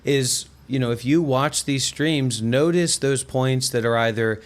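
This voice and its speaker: A man's voice: mid-range voice, a little slow. Man in his thirties chatting discursively. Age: 30s. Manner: discursive, often says "you know". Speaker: host, former monk and content creator. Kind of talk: casual conversational livestream chat.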